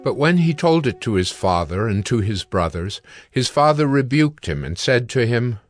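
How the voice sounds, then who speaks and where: A man's voice: mid-range voice, sing-song, no room sound. Solemn male Bible narrator over music. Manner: measured, solemn storytelling. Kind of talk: scripted dramatic Bible narration.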